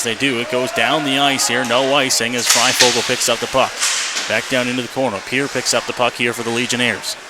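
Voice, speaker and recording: A man doing live radio play-by-play of an ice hockey game in clipped, running phrases, as the announcer. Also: medium pitch. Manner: clipped running phrases, rising to excited calls on shots. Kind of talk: live radio sports commentary. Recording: noisy live arena radio feed.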